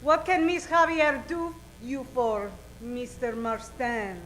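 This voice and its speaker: Slow, sultry foreign torch singer. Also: female voice, high-pitched, lively intonation, a bit bright, a little hiss or hum, exaggerated voice. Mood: coy, flirtatious. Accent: put-on Latin accent. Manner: slow, drawn-out, flirtatious. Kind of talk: old-time radio comedy acting.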